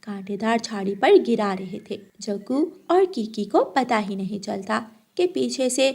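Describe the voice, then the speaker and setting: A woman's voice: medium pitch, lively intonation, unhurried, creaky, darkish tone. A woman narrating a scripted children's cartoon story.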